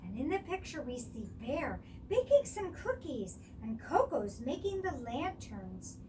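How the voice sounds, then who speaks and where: A woman reading a children's story aloud as storyteller, expressive and explaining things for young listeners. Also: high-pitched, a little slow, recorded from a distance, noisy background.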